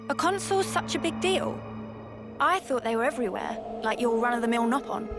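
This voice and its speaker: A woman's voice: a little high, lively intonation, a little fast. A woman voicing a sassy, outspoken young winged woman in a video game, talking in a blunt, slangy way. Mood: casual. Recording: game cutscene with loud music.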